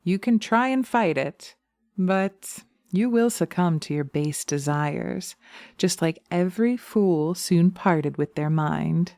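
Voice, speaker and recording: A woman's voice: on the low side, slow pace, darkish tone, no echo. A woman voicing a scornful, domineering witch who taunts and commands in a hypnosis roleplay audio. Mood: taunting, commanding.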